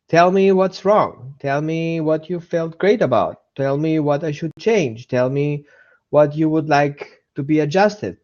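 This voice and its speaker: A man's voice: fairly high pitch, a little mellow, muffled recording. A man with an Austrian accent hosting a casual live dog-training webinar, chatting with viewers, repeating himself and greeting people by name.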